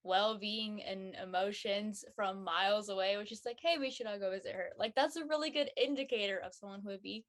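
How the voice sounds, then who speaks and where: A woman chatting casually as a panel guest, informal, emphatic and quick to give her opinion. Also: medium pitch, a bit bright. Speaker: guest on a web show panel. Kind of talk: casual chat.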